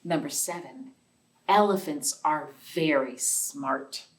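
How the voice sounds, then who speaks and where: A woman with a fairly low voice leads a storytime for young children. She reads aloud and explains things in simple words, speaking to the kids directly with questions and chatty asides. She sounds some distance from the microphone. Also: slow pace. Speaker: library storytime host. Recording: distant, off-mic voice.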